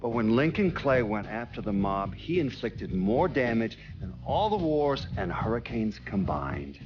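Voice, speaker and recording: A man's voice: mid-range voice, sing-song, a little slow. An actor playing a grave American lawman giving emphatic testimony in a documentary-style game scene. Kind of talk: documentary interview testimony, acted. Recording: slightly dull lo-fi sound. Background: prominent music.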